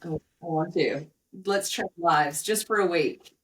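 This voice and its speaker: Woman, lowish and a touch hoarse. Candid, gossipy podcast co-host, casual delivery, sitting a little back from the mic. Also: unhurried, recorded from a distance, rough recording. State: slightly hoarse and croaky from illness. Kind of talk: casual chatty podcast banter.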